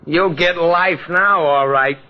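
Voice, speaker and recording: A blunt, gloating male police sidekick in a 1940s radio drama. His voice is fairly high. The recording is dull, with faint noise. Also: slow pace.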